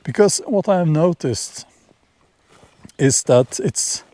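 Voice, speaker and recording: A middle-aged man with a Norwegian accent talks to camera outdoors, slow and deliberate, often repeating words and restarting. His voice is mellow and relaxed, recorded close and dry. The tone is casual and opinionated, like a personal vlog. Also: fairly high pitch, no echo. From Norway. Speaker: vlogger. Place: outdoors in nature, near horses.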